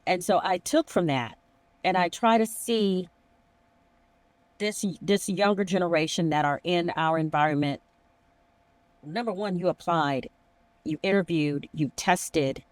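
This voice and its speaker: A woman's voice: fairly low pitch, measured pace, long pauses, no room sound. A middle-aged woman hosting a conversational discussion, speaking emphatically and slipping into imagined dialogue. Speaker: podcast host, emergency dispatch veteran.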